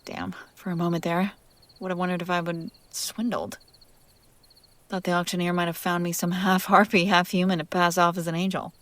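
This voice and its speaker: Low-voiced, sardonic demon woman, acted by a woman. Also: little pitch movement, normal speed, close to an everyday voice. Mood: baffled, irritated. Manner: teasing, wry, conversational, breaking into hushed whispers. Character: possessive, sardonic, curious female demon who bought an angel. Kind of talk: intimate audio roleplay drama addressed to the listener.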